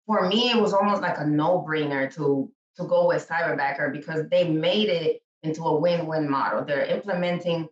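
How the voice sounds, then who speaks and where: A woman's voice: low voice, normal speed, few pauses. A Puerto Rico-born woman whose first language is Spanish, a business owner chatting casually as a guest, full of fillers and restarts. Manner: chatty, many fillers and restarts, laughs often. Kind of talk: casual interview. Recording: echoey, distant, dull call audio.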